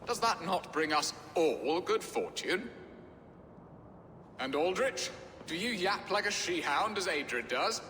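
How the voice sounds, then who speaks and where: An actor playing a foppish, theatrical middle-aged lord who speaks in florid, pompous phrases in a video game. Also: male voice, high voice, very animated, slow pace, pauses often, pushed and bright, heavily acted. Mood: placating, pompous. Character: foppish, flowery middle-aged English lord, easily flustered. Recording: reverberant hall, faint background noise.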